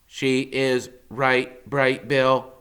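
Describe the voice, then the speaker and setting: Man voicing a slow, protective robot mother. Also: medium pitch, level tone, fairly bright tone, clean tone, pitched above the performer's own voice. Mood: calm firmness. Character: protective female robot mother, composed and polite.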